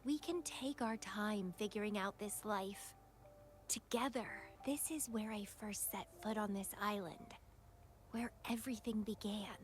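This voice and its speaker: A woman's voice: medium pitch, unhurried, soft background music, close to an everyday voice. An actress voicing a playful, dangerously devoted young woman rival in a video game, with a teasing, languid, dramatic delivery. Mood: tender, possessive. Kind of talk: scripted video game voice acting.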